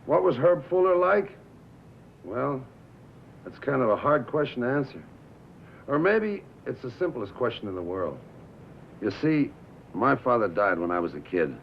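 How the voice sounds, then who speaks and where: A man's voice: medium pitch, very animated, moderate pace, vocal fry, pauses often, a little hiss or hum. A man playing a brash, defensive American bandleader in 1950s film drama style, loyal and slangy, with punchy wise-guy talk. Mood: solemn, sincere. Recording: muffled vintage film soundtrack.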